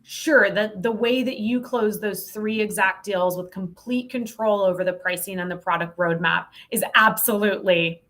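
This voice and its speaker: A woman's voice: medium pitch, moderate pace, hardly any pauses, a bit bright. A middle-aged woman speaking candidly and emphatically as a guest in a conversational podcast interview, with a wry edge. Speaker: podcast guest, sales trainer.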